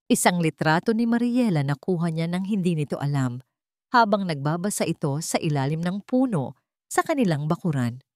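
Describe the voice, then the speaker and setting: A woman's voice: sits low, a little mellow, dry recording, clean studio sound. A woman narrator reading a scripted dramatic romance story.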